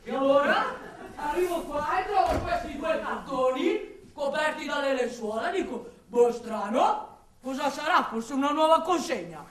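A woman's voice: high-pitched, lively intonation, unhurried, crowd noise. A woman playing a blustering, defensive male building foreman, rambling and interrupting herself in a radio drama monologue. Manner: agitated, rambling, self-interrupting. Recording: echoey room, distant mic.

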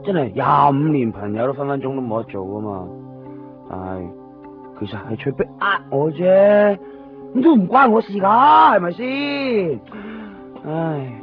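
A man's voice: a little high, sing-song, measured pace. A man with a Hong Kong Cantonese accent playing a nervous, apologetic, evasive friend in a radio drama. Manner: hesitant, stammering, pleading. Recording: dull, lo-fi 1990s radio recording with music.

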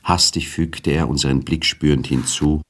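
A man narrating a literary radio drama in a measured, formal storytelling manner. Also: very deep voice, fairly even intonation, a bit bright.

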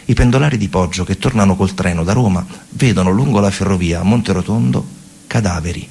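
Man, low pitch, creaky gravelly tone, theatrical storytelling, repeats phrases, conversational. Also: fast, a little mellow. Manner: conversational, repeats phrases for emphasis, acts out quotes. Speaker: stage storyteller and actor. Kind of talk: theatrical narrative monologue for radio.

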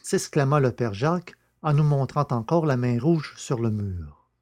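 Male narrator, scripted literary reading, warm soft-edged timbre, medium pitch. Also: lively intonation, a little slow. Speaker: audiobook narrator.

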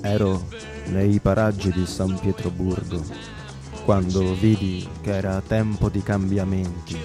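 Man playing a deep, slow, sinister Devil. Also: level tone. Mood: sly, courteous. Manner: slow, courteous, menacing monologue. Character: suave, sinister male Devil boasting of his crimes. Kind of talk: dramatic recitation of translated song lyrics over music. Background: music.

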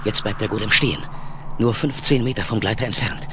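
An actor playing a dazed, anxious space pilot slipping under alien control, narrating in halting, trance-like phrases in a 1970s radio drama. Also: male voice, lowish voice, noisy background, lightly acted. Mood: entranced, watchful.

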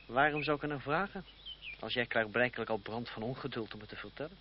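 A man's voice: mid-range voice, quick pace, close to an everyday voice. Male actor for a mocking, lovestruck young aristocrat in old radio drama, eloquent delivery, muffled tape with some hiss. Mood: dry, teasing. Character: sardonic young French nobleman, smitten cousin, secretly jealous. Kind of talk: vintage Dutch radio play acting. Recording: dull, noisy old radio-play recording.

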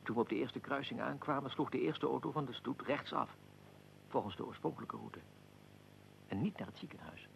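An actor playing a weary, aristocratic Austrian archduke in a radio drama, speaking formally and with measured dignity in a gravelly voice. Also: male voice, medium pitch, a little hiss or hum, low-quality recording. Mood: grave recounting. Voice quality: gravelly, creaky. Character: Austrian archduke, aristocratic, weary, recounting his own assassination.

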